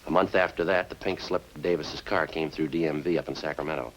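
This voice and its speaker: Very deep-voiced, deadpan police sergeant. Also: male voice, fast, slight background noise, poor audio. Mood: dry, pressing. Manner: clipped, deadpan, matter-of-fact. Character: terse, unflappable male police sergeant narrating his case. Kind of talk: 1950s radio police drama acting.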